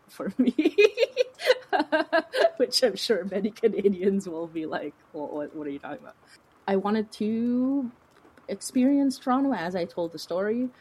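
A woman talking casually as an interview guest, in an informal, animated, rambling way with a giggly streak. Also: mid-range voice, husky, low-quality recording. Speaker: podcast creator and writer being interviewed.

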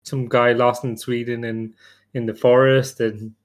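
A man's voice: mid-range voice, level tone, unhurried. A man chatting casually as a podcast panelist, joking and rambling hesitantly as he goes. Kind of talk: casual chat.

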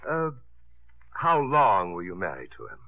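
An actor playing a glib, flirtatious, slightly absent-minded artist in a 1950s radio comedy. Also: male voice, low-pitched, huge pitch swings, measured pace, heavily acted. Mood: mock businesslike. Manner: glib, teasing, bossy. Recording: dry, tinny 1950s radio broadcast.

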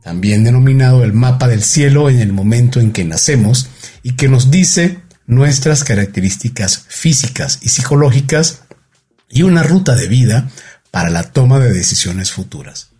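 A male podcast host with a dark, mellow tone and a slightly husky edge. He reads his guest's introduction in a measured, formal way, then moves into easy conversation. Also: mid-range voice, lively intonation, faint music behind. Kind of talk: reading an introduction, then chatting.

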